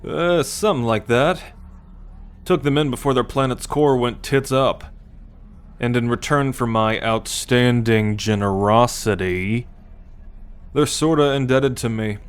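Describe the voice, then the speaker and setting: A man's voice: medium pitch, unhurried, clear, resonant tone, natural voice. A man voicing a cocky, teasing alien military scout and possessive captor in an ASMR roleplay, boastful and sardonic with slangy swearing. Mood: boastful, defensive. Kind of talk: ASMR roleplay acting addressed to the listener.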